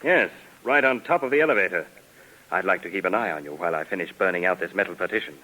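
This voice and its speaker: An actor playing a greedy, mock-polite killer in a 1950s radio drama, smoothly menacing and easily worked up, on an old radio recording. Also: male voice, low voice, quick pace, low-quality recording. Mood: smug, courteous. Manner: mock-polite, smoothly menacing, turning frantic when excited. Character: greedy, gloating male killer hunting hidden loot.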